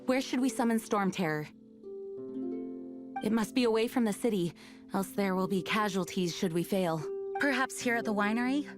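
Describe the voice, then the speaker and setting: A woman's voice: mid-range voice, expressive, normal speed, pauses often, music in the background, lightly acted. A woman playing a composed, earnest young knight leader in a video game, speaking politely and formally. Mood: composed, businesslike.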